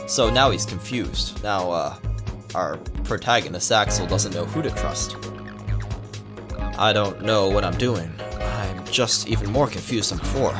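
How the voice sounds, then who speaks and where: A let's player reading a visual novel aloud dramatically with casual asides, stumbling over words and correcting themselves. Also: deep voice.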